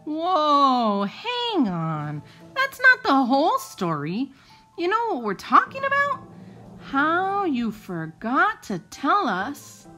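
A woman's voice: high-pitched, huge pitch swings, slow pace, sharp tone, soft background music, heavily acted. A woman voicing eager, insistent crayon monsters in a storybook, chirpy and helpful.

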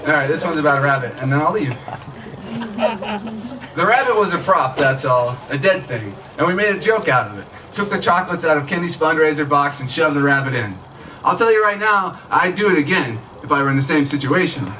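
A man's voice: on the high side, expressive, brisk, bright, forceful tone. A man in his thirties reading short fiction aloud at a live event, in a conversational storytelling way. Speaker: author reading own work. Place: small tent stage at night, outdoors. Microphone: stand microphone, recorded by camera from audience. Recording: distant mic, noisy live outdoor event.

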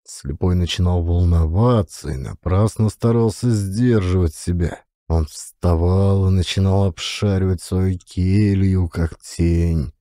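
A man reading classic literature as an audiobook, with measured, expressive, dramatic narration. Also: low-pitched, no room sound, studio quality.

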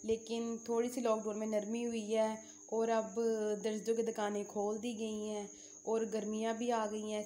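A woman's voice: on the high side, mellow, slight background noise. A young Pakistani woman hosting a vlog and addressing her viewers. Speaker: vlog host.

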